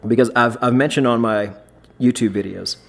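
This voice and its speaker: A man's voice: medium pitch, steady tone, moderate pace, gravelly. A man hosting a trading podcast, talking in a blunt, emphatic way with casual swearing. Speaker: podcast host.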